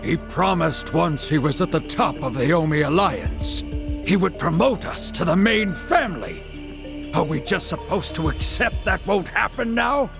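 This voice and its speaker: An actor playing an indignant, demanding yakuza underling who complains in a video game drama. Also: male voice, on the high side, lively intonation, unhurried, vocal fry. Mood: resentful. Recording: music behind the voice.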